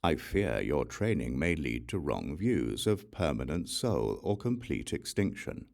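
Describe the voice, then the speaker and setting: A man reading an audiobook of sacred verse in a solemn, deliberate recitation with measured phrasing and pauses. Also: very deep voice, big pitch swings, creaky, darkish tone. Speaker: audiobook reader.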